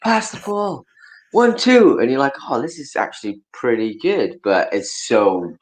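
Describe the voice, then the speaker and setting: A man's voice: mid-range voice, unhurried, a crowd in the background, low-quality recording. A man from Wales, a podcast guest in casual, rambling chat. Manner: chatty, rambling, animated impressions.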